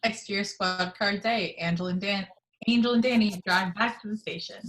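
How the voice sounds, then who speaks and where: A woman's voice: medium pitch, fairly even intonation, normal speed, fairly bright tone, low-quality recording. Woman reading stage directions. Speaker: reader of the action description. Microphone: video call microphone at home.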